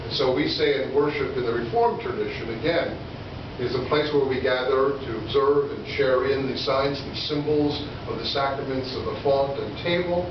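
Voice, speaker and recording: A man in his sixties preaching a sermon in deliberate phrases with pauses and rhetorical questions. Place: church sanctuary or hall, indoors at a lectern. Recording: echoey room, distant mic, dull, noisy rumble.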